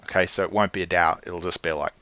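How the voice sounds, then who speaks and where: A man coaching his clients, earnest and checking in often, with lively jumps in pitch and frequent restarts. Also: fast, background noise. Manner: earnest, keeps checking in with "right?". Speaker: life coach.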